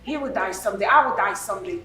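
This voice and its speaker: A woman's voice: sits high, expressive, measured pace. A middle-aged woman with a Nigerian accent playing a hard, cold-hearted widowed mother who answers back dismissively. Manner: dismissive and defiant. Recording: echoey room, voice off-mic, faint music.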